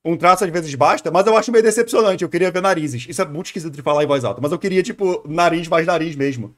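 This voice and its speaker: A man's voice: on the high side, quick pace, soft background music. A man with a Brazilian accent giving casual, rambling reaction commentary as a streamer. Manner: rambling, musing.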